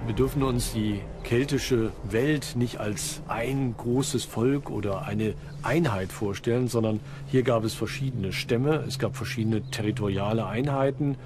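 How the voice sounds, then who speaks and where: A man explaining as an expert in a documentary interview, with deliberate, halting phrasing. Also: medium pitch. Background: background music.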